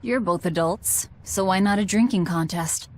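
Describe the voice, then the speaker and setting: A woman's voice: fairly low pitch, normal speed, close to an everyday voice. A woman playing a brusque, guarded, sardonic young female fighter in a video game. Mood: dry, impatient.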